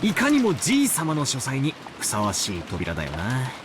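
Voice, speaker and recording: A man voicing a brash, wry, cocky teenage boy in a visual novel, with rough colloquial speech and teasing bravado. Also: medium pitch, wildly swooping pitch, fast, background noise. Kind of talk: Japanese visual novel voice acting. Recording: prominent music behind the voice.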